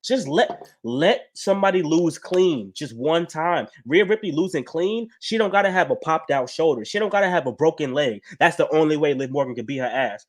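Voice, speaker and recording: A man's voice: fairly high pitch, expressive, moderate pace, few pauses. A man co-hosting a casual wrestling chat stream, blunt and profane. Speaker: podcast co-host.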